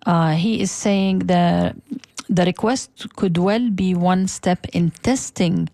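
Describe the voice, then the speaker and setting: A woman co-hosting a talk radio show, speaking haltingly with many fillers as she reads out quotes and comments. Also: on the low side, mellow, no room sound, clean studio sound. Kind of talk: casual political talk radio discussion.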